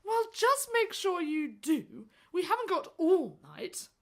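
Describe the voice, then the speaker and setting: A woman's voice: very high-pitched, very animated, takes it slowly, heavily acted. A woman playing a haughty, sarcastic young stepsister in a children's radio play, dismissive and sneering.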